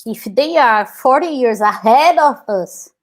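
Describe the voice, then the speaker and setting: A woman's voice: sits high, expressive, unhurried. Woman, 30s, Brazilian accent, earnest and reflective interview guest. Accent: Brazilian Portuguese. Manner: earnest, reflective, many filler "like"s. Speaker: guest, activist and scholar. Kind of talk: personal storytelling in conversation.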